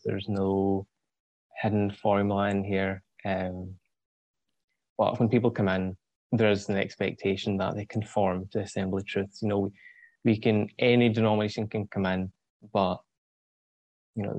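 A young man in his twenties with a Scottish accent, a guest on an interview, explaining things thoughtfully with frequent pauses and ums. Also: lowish voice, little pitch movement, darkish tone, no echo, low-quality recording. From Scotland. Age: 20s. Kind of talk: casual explanatory talk.

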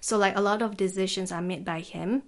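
A relaxed woman in her thirties, talking as a podcast guest in an office. She speaks at an average pitch with a loose, chatty rhythm, scattering "like" through her sentences and laughing easily. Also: brisk. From Singapore. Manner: easygoing, peppers speech with "like", laughs often. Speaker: guest, climbing guide. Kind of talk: casual interview chat.

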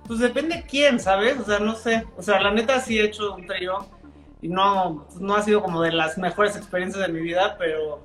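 A woman's voice: mid-range voice, normal speed, fairly bright tone, reverberant. A woman chef with a Mexican accent chatting as a guest, laid-back and full of slang. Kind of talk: casual chat.

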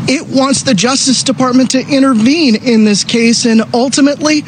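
A male reporter with a very high voice narrates a scripted news story. The clip has clear background noise, with vehicle and ambient sound behind him. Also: steady tone, moderate pace.

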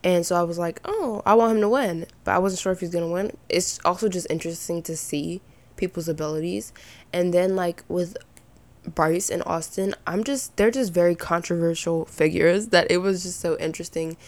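A young woman with a slightly raspy voice and some vocal fry at sentence ends, chatting casually and giving her opinions as a podcast host. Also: lowish voice, normal speed. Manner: informal, rambling, opinionated. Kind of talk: casual chatty solo commentary.

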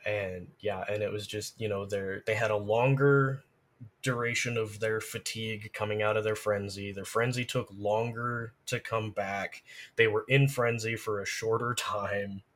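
A man's voice: lowish voice, fairly even intonation, measured pace, fairly bright tone. A man talking as a podcast guest, casually explaining things in detail like someone who knows the subject well. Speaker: podcast guest, game lore and gameplay expert.

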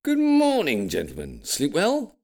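Man performing a old grandad, audiobook, genial, gently teasing, animated intonation. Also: a little high, unhurried, vocal fry, voice pushed up, exaggerated voice. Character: genial old grandfather, dry and easygoing family patriarch. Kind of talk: audiobook character voice.

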